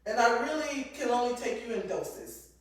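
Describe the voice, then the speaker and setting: Woman cohost w a loud voice, mid pitch, sounds far off in an echoey room. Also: expressive, normal speed. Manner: loud voice, reflective, talks in restarts. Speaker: co-host. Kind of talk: casual chat. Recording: roomy, echoey from off mic.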